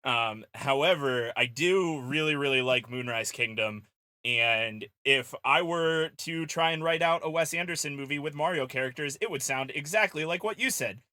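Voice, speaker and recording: A man's voice: a little high, normal speed, bright, forceful tone, dry recording. A man co-hosting a podcast, giving casual commentary in a conversational way. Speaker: podcast co-host.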